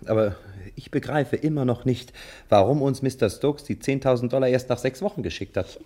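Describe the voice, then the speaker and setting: An actor playing a put-upon, wryly pompous private detective in a crime serial, sardonic and mock-polite. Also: male voice, mid-range voice, fairly quick, dark tone, natural voice. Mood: sour, sardonic.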